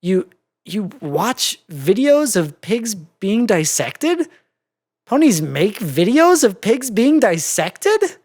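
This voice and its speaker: A story reader voicing an excitable, anxious, bookish female cartoon pony who stammers in disbelief. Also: mid-range voice, takes it slowly, voice pushed up, heavily acted. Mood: shocked. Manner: stammering, incredulous, squealing outbursts.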